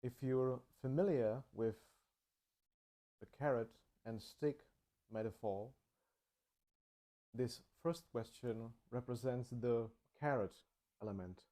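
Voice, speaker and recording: Guy, english, calm reflective narration, really unhurried, low-key mellow tone. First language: Czech. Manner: slow, measured, thoughtful delivery. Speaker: channel host.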